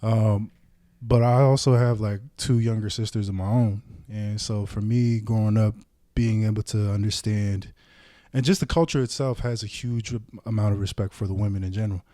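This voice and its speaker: A man's voice: lowish voice, steady tone, normal speed, dark tone, no echo, clean studio sound. A young man who grew up in Samoa, a podcast guest talking in a thoughtful, laid-back way, with lots of casual fillers. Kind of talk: casual chat.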